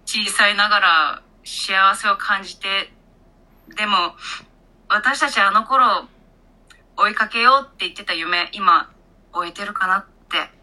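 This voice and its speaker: A woman's voice: mid-range voice, unhurried, sharp tone, poor audio. A woman in her thirties co-hosting a casual chat show, playful, telling a story with a mock-dramatic flair. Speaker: podcast co-host.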